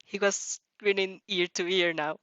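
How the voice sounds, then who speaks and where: A woman narrating fan fiction as an amateur, excitable and giggly, stumbling over words and reacting as she goes. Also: medium pitch, measured pace, no room sound.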